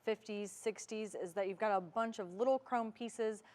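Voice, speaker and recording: A woman's voice: medium pitch, moderate pace, darkish tone, slight background noise. A woman giving a hands-on product demonstration in plain, instructional language.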